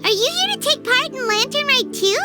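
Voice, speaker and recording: A tiny excitable fairy companion for a game. The voice is extremely high, squeaky and childish, bouncing around in pitch over clear music. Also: female voice, fairly quick. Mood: curious. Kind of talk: video game dub dialogue.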